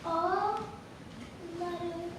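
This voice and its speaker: A woman giving a staged dramatic reading, slow and deliberate, in short phrases. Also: very high-pitched, fairly even intonation. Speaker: performer reading historical newspaper ads. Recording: very echoey hall from far off, noisy.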